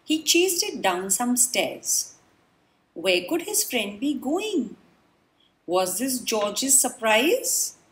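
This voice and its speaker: A woman reads a children's story aloud in a fairly high voice. Her pitch moves up and down freely. She takes her time, pausing deliberately and telling it with expressive drama. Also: slight background noise. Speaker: children's book reader. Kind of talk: read-aloud storybook narration.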